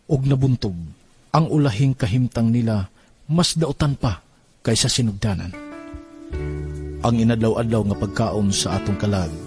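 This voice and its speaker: Male devotional reader, mid-pitched, solemn scripted delivery, light music underneath. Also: moderate pace, pauses often, darkish tone.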